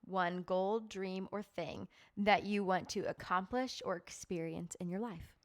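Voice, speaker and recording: A woman's voice: medium pitch, fairly even intonation, a little slow. A woman hosting a podcast, asking questions in a chatty, enthusiastic way and laughing easily. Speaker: podcast host. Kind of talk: casual interview chat.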